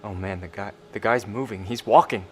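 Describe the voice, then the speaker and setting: An actor playing a panicky male survivor in an audio drama, excitable and stammering. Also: fairly low pitch, fairly quick, a little hiss or hum, lightly acted. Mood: tense, puzzled. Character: panicky male bunker survivor gawking at monsters. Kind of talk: naturalistic audio drama acting.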